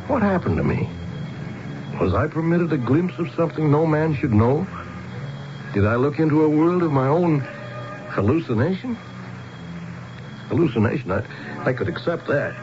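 An actor playing a bewildered, earnest middle-aged commuter in a halting, brooding radio-drama style. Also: male voice, a little high. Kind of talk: 1970s radio drama acting. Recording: muffled vintage radio drama recording.

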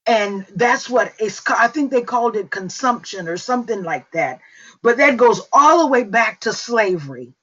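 A middle-aged woman with a Mississippi accent gives an unhurried spoken testimony on a prayer call, with fillers and long pauses. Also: a little high, expressive, sharp tone, slightly rough. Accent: Southern US, Mississippi. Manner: slow, unhurried storytelling with fillers. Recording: dull lo-fi call audio.

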